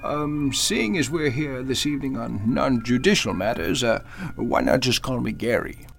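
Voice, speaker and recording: A man's voice: medium pitch, expressive, normal speed, gravelly, a bit bright, soft background music. A man playing a courteous, slightly forgetful elderly judge in a radio drama, speaking in a hesitant, courtly way. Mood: genial, courtly. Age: older. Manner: hesitant, courtly, chuckling speech. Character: genial elderly judge, courteous, mildly forgetful old gentleman. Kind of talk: golden-age radio drama acting.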